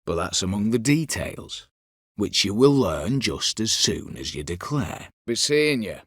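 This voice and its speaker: A man's voice: on the low side, big pitch swings, unhurried, a bit bright, husky, dry recording, clean studio sound, heavily acted. An actor voicing a scheming, vengeful disinherited nobleman turned heist leader in a video game, with a colloquial, wry, conspiratorial way of talking. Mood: wry, smooth. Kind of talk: video game character dialogue.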